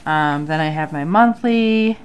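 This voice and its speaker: Low kinda nasal mom-voice, rambling with ums at her desk, faint hiss. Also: a little slow. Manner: chatty, with frequent ums. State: nasal from a sinus infection. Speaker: bullet journal vlogger. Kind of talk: casual chat. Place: home desk under an overhead light.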